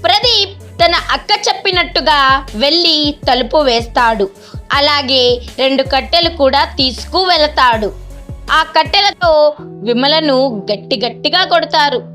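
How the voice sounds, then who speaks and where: A woman narrates a scripted moral tale for children. Her voice is high, and soft music sits faintly behind it. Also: lively intonation, moderate pace. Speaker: story narrator.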